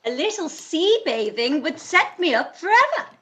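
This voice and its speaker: A woman playing a fussy, high-pitched mother. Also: very animated, moderate pace, fairly bright tone, full character voice. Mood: fretful. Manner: gushing and complaining. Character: fussy, dramatic middle-aged mother. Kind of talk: live-read radio play.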